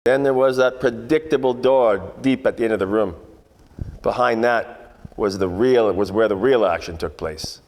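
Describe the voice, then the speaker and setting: A man's voice: lowish voice, normal speed, natural voice. Man playing a wry hardboiled detective, hall reverb. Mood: jaded, wry. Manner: wry, colloquial, deadpan asides. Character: world-weary wisecracking 1940s private detective. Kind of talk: hardboiled noir radio-play narration and dialogue.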